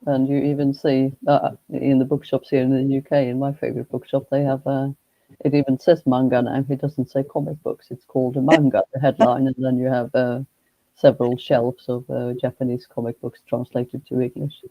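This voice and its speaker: A man speaking at a mid pitch in an even, flat tone. He talks haltingly, with many restarts and fillers. The sound is dull and lo-fi, and the room is dry. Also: no echo. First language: Swedish. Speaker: guest. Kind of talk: casual conversation.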